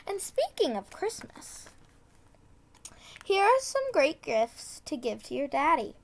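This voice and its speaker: Woman reading slowly in a high voice. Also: big pitch swings, creaky. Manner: deliberate, trips over and corrects tongue twisters. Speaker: storybook reader. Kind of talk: reading a children's tongue-twister book aloud with casual asides.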